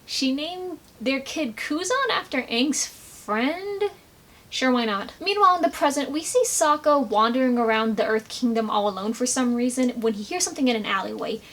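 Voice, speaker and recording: A woman giving a casual, animated commentary recap with sarcastic asides. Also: sits high, normal speed. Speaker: video commentator.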